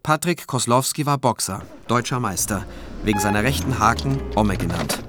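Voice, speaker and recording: A man's voice: on the low side, animated, moderate pace, natural voice. Male actor as reflective prosecutor-narrator, forties, dry irony, radio thriller, prominent music bed. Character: forty-year-old cautious male prosecutor telling his own story. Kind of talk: scripted first-person radio drama narration. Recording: music behind the voice.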